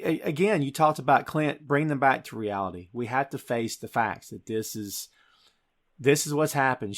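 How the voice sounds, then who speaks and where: A man's voice: medium pitch, a bit bright. A man hosting a podcast, recapping a film in an informal, emphatic storytelling way. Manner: informal, emphatic storytelling, addressing co-host as dude. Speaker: podcast host. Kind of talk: casual film recap and discussion.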